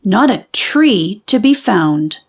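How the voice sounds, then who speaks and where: A woman reading a children's storybook aloud in an expressive, deliberate way with dramatic pauses. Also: medium pitch, slightly rough. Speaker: children's book reader. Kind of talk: animated storybook read-aloud.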